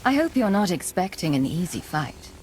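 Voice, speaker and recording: Composed, resolute agent commander, faint noise. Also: female voice, fairly low pitch, very animated, normal speed, a little mellow. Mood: composed resolve. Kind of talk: video game cutscene acting.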